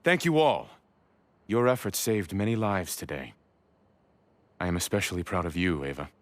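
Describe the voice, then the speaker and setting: Man as a deep, commanding dignified leader. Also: no room sound, close to an everyday voice. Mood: proud. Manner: measured, formal.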